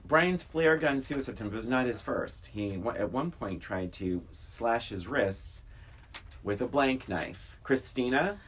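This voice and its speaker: A man co-hosting a podcast in casual, excitable banter and playful game hosting. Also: mid-range voice, a little slow, breathy edge. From Brockton, United States. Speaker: podcast co-host.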